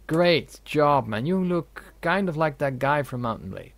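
A young man talks through a video game in a casual, jokey way and reads its dialogue aloud. His voice has a gravelly edge. The sound is dry and close. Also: on the high side, expressive, a little slow, no echo. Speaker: gaming video host. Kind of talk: casual let's play commentary.

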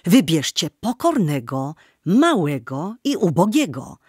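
A woman narrates a scripted tale with animated, expressive pitch. The sound is dry and close, the manner dramatic and literary. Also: measured pace, husky, dry recording.

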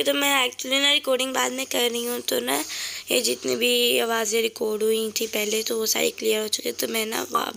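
A woman's voice: a little high, gravelly, pushed and bright. A woman from Pakistan with a sore throat, narrating a casual shopping vlog in a cheerful, chatty way and pointing things out to her viewers. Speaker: vlogger. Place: voice-over recorded later, indoors.

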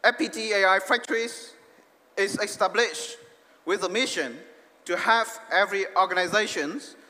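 A man in his forties with a Vietnamese accent, giving a corporate keynote on a big stage through a headset mic. He is earnest and halting, with frequent restarts. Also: high voice, pushed and bright. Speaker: keynote speaker. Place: large arena stage. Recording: echoey hall, long reverb.

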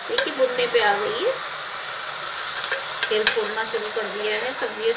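A woman with a high voice talks a home cooking demonstration through step by step, chatty and unhurried with small hesitations. She is at the stove in a roomy kitchen. Loud frying, sizzling and clanking spoons run under her voice. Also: heavy background noise. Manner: chatty, explains each step while cooking, frequent hesitations. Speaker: home cooking channel host. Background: frying, sizzling and stirring in a pressure cooker.

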